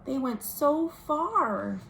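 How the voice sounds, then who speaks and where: A woman reading a picture book aloud to children as a storytime host, expressive, pausing to speak to her young listeners. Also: high voice, creaky. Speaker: children's librarian hosting virtual storytime. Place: at home. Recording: noisy home recording.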